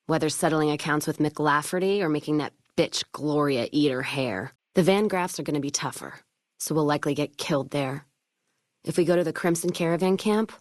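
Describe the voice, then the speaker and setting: A low-pitched actress voicing a tough frontier caravan woman in a video game. She is blunt and wry, a hard drinker with a western turn of phrase. The sound is dry, with no room around it. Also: no echo, natural voice. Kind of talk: video game character dialogue.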